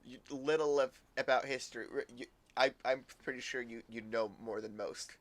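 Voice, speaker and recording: A man giving casual live gameplay commentary in a halting, rambling way, often repeating words. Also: medium pitch, fairly even intonation. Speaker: game streamer. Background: faint game music.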